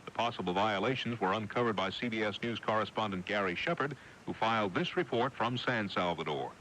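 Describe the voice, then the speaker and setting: Forceful low-voiced American radio newsman. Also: expressive, brisk. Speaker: radio news anchor. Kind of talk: scripted newscast. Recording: noisy 1980s AM radio broadcast.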